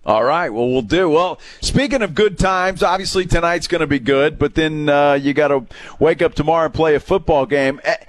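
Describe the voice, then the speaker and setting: A man's voice: fairly high pitch, moderate pace. A man co-hosting a sports radio show, putting casual, conversational questions to a guest.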